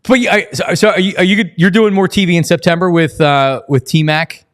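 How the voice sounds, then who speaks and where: A man's voice: high-pitched, steady tone, normal speed, a bit bright. A man hosting a casual sports talk interview, talking in wordy, rambling questions with false starts. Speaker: sports show host.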